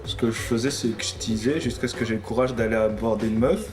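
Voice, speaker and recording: A man from Normandy in casual chat, relaxed and hesitant with many fillers, laughing often. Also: lowish voice, fast talker, music in the background. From Normandy, France. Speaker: guest rapper.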